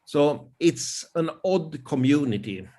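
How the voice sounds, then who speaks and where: A man's voice: a little high, expressive, takes it slowly, warm tone. Swedish-accented older man presenting, hesitant. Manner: hesitant, many fillers. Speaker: conference presenter. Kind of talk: informal presentation. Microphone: video call.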